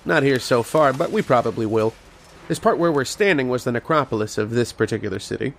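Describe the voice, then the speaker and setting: A man's voice: medium pitch, a little fast, creaky. A man playing a learned, patient archaeologist who explains and narrates in an old-time radio horror drama style. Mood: patient, explanatory. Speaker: lead actor and narrator.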